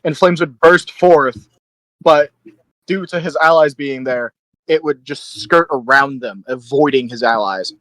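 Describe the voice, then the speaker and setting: A man's voice: medium pitch, unhurried, a bit bright, dry recording, low-quality recording. A man talking casually as a player in a tabletop role-playing game.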